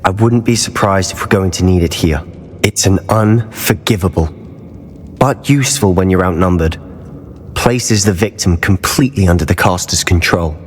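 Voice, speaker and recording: A man's voice: low voice, moderate pace, slightly rough. An actor playing an earnest, driven teenage male student of wizardry in a video game, explaining things intently and articulately. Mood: eager, persuasive. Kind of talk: dramatic video game voice acting. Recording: long cavernous reverb.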